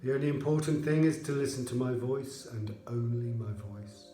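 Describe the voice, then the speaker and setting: Man, yoga nidra instructor, soft mellow tone, measured soothing phrasing, roomy echo, music underneath. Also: medium pitch. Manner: measured, soothing delivery with pauses. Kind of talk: guided relaxation meditation narration.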